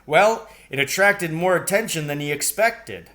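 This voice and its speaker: A man's voice: fairly high pitch, lively intonation, a little slow, pushed and bright, voice pushed up. Man reading as a folksy, practical farmer dad. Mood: recounting news. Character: Mr. Fitzgibbon, practical matter-of-fact farmer father. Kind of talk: acted storybook dialogue.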